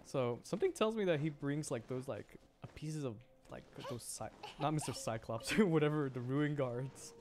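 A woman's voice: a bass voice, animated, moderate pace, few pauses, darkish tone. A woman streamer giving casual, amused game commentary, reacting aloud as she plays. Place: indoor stream voice-over with game music behind.

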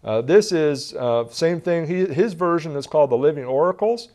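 A man's voice: fairly high pitch, lively intonation, normal speed, darkish tone. A man in his forties teaching a Bible lesson from a lectern in a television studio, earnest and gesturing as he reads passages aloud.

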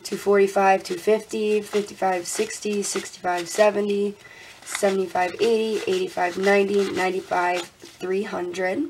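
A young woman vlogging casually at her desk. She sounds congested and a little raspy, laughs often and counts money out loud. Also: mid-range voice, little pitch movement, moderate pace, a little hiss or hum, poor audio. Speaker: budgeting vlogger. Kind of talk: casual talk while counting cash. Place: indoors at a desk.

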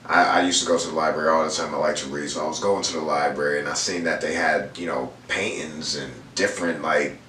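A man's voice: a bass voice, fairly even intonation, fast talker, hardly any pauses, pushed and bright, distant mic. A man in his thirties, raised in Houston, talking in a laid-back, slangy way as a guest painter in an interview. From raised in Houston, Texas. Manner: laid-back slangy talk with lots of fillers. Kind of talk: casual interview chat. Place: indoor room, seated on a leather couch. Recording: roomy echo, voice a bit off mic, faint room noise.

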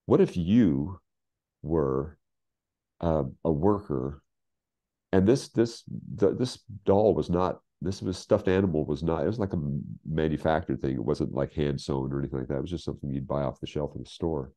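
An American man in his sixties telling stories in casual conversation, halting and emphatic, often repeating phrases for weight. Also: very deep voice, warm tone. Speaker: guest, scriptwriter. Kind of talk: casual anecdotal storytelling in conversation. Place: home office, video call.

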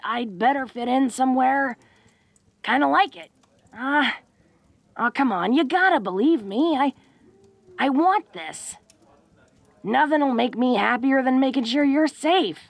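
A woman's voice: fairly high pitch, vocal fry, no echo, heavily acted. A woman playing a tough but secretly awkward, tender young orc woman in an intimate romantic audio roleplay, with a casual, folksy, hesitant way of talking. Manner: casual, folksy, hesitant with frequent stammers and "huh?" tags.

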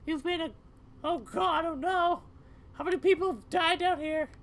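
A man voicing an anxious, easily horrified young woman in a story game, in a squeaky falsetto. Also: moderate pace, a bit bright.

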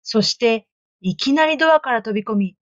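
A woman narrating a children's storybook aloud, clear, gentle and expressive. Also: fairly high pitch, moderate pace, no echo, muffled recording.

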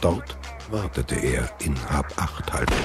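A man's voice: very deep voice, expressive, measured pace. A man narrating a scripted German thriller audio drama. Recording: music behind the narration.

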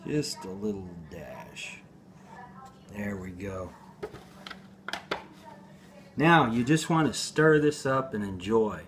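Man, 40s, home cooking host, lowish voice, rambling and deadpan, recorded on a phone in a noisy kitchen. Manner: slow, rambling, deadpan, with hesitations. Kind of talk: casual home cooking demo. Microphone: phone camera on the counter.

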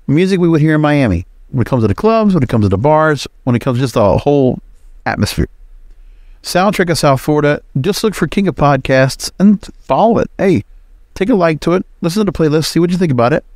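A man's voice: fairly high pitch, lively intonation, fairly quick, a little mellow, dry recording, studio quality. A man hosting a podcast, giving informal, opinionated commentary on his own in a conversational, emphatic way. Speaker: podcast host.

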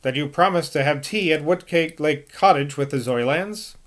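An earnest, bashful young lad speaks up in a raised, higher voice, quick to blush, as a man puts him on. It sits well above the reader's own tone, a storybook youth. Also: a bit bright, close to an everyday voice. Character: earnest, easily embarrassed young man, the clergyman's son.

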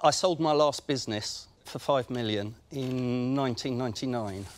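Measured English man pitching a business. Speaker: entrepreneur pitching. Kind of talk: answering investors' questions. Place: large brick-walled studio, indoors.

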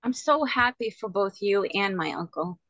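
A woman's voice: medium pitch, expressive, moderate pace, a bit bright, dry recording, dull audio. A middle-aged woman playing an earnest, lovelorn woman putting on a brave face in a screenplay table read. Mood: brave, resigned. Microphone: video call microphone.

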